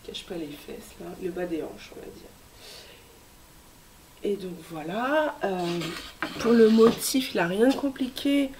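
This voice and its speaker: A woman in her thirties, hoarse from a cold, hosting a casual knitting vlog with many hesitations and soft asides. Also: medium pitch, lively intonation, slow, pauses often, noisy background. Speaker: knitting podcast host.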